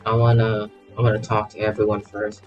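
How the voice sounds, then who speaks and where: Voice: medium pitch, completely flat delivery, a little fast, dull audio, faint music behind. A streamer giving casual game commentary, playful and exclamatory, rambling and repeating words.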